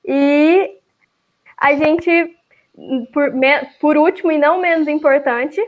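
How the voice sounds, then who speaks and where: A woman's voice: sits high, steady tone, slow. A Brazilian woman hosting a live online event, chatty, with many fillers and restarts. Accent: Brazilian Portuguese. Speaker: event host, chapter leader. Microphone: video call. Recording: lo-fi online call audio.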